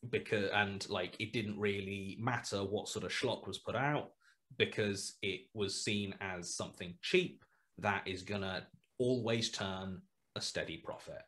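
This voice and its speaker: Guest on a video call, lowish voice, talks in loose, restarting bursts. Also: male voice, measured pace. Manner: rambling, many restarts, animated asides. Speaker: guest, horror podcast writer. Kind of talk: casual video call interview. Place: small home room indoors. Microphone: headset mic on video call.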